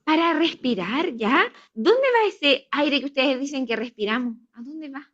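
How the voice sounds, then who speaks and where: Bright, high-pitched schoolteacher woman. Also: animated, a little slow. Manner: didactic, repeats answers and asks guiding questions. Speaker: primary school teacher. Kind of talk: classroom questioning of young children. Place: classroom. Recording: dull lo-fi classroom video playback.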